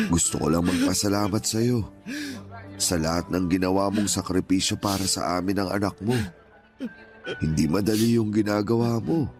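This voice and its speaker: A man acting as a gentle, hurt older father in a radio drama, pleading earnestly and emotionally with his grown child. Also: low-pitched, lightly acted. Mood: grateful, emotional. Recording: music playing behind the voice.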